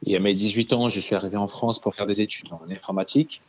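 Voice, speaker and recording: Male game studio founder from the Central African Republic; low, calm, earnest; dull lo-fi sound. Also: quick pace. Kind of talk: radio interview answer.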